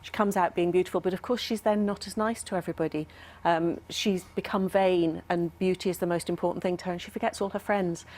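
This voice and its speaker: Woman, lowish voice, academic expert, thoughtful, hesitant, explanatory interview style. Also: steady tone, fast, darkish tone. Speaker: academic expert guest, professor.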